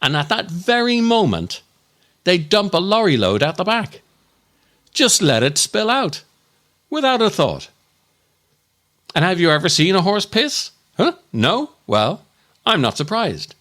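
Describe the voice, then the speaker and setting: A man delivering a scripted comic monologue as a podcast host, in a grumpy, exasperated, mock-appalled and deliberate way. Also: high voice, huge pitch swings, fairly bright tone.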